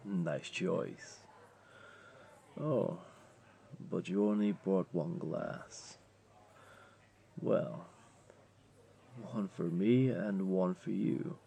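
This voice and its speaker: A man's voice: low voice, vocal fry, dark tone, exaggerated voice. A man voicing a flirty, laid-back jaguar beastling, a tavern regular who teases and coaxes the listener in a roleplay audio drama. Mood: amused, coaxing. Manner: playful, coaxing, unhurried teasing.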